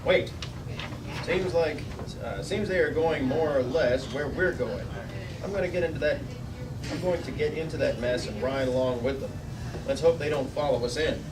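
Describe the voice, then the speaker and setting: A man's voice: a little high, moderate pace, close to an everyday voice. A man playing a cocky, daring space pilot hero at a script table read, casual and wry. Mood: focused. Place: busy restaurant dining room. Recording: distant mic in noisy room.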